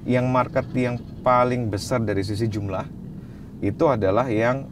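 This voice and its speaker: A man answering interview questions as a wine expert, relaxed, slipping English phrases into his speech. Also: medium pitch, normal speed, background noise.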